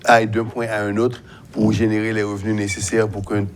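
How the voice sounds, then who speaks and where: Man, halting and explanatory. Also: on the low side, level tone, a little hiss or hum. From United States. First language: English. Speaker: guest commentator. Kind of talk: explanatory talk show interview.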